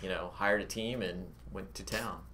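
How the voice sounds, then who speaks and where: A man with a very low voice answers questions in a relaxed, rambling way, with plenty of fillers and stops. A faint hiss of background noise sits under the stream. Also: normal speed. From born in Vietnam, grew up in Arizona. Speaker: game studio founder, interviewee. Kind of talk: casual livestream Q&A.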